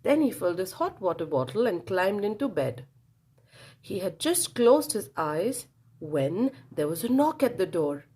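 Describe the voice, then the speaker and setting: A woman reads a storybook aloud for young children. Her delivery is slow and very animated, with a slightly husky voice. She tells it dramatically and draws the listeners in. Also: medium pitch. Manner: dramatic, engages listeners with questions.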